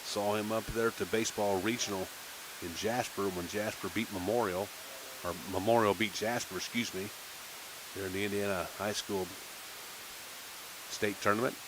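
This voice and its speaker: A man's voice: normal speed, pauses often, background noise. Folksy small-town baseball radio announcer man. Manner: relaxed folksy chatter between pitches, rising excitement on big plays. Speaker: play-by-play announcer. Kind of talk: local radio baseball commentary.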